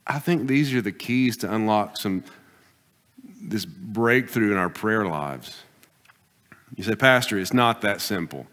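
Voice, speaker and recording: A man preaching a sermon as pastor, pressing his points with rising bursts and then dropping to quiet, deliberate asides. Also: lowish voice, lively intonation. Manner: emphatic preaching, swings between loud exhortation and quiet asides. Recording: long hall reverb.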